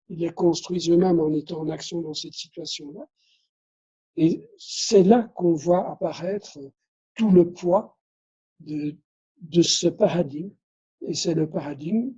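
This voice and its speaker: A man's voice: on the high side, warm tone, husky, dull audio. A man from Belgium whose first language is Dutch, giving a conference lecture, restarting phrases and adding tag questions. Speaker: conference speaker.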